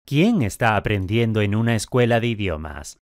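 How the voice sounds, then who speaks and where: A man speaking clearly articulated drill questions and statements in a language course. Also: fairly low pitch, animated, moderate pace, dry recording, studio quality.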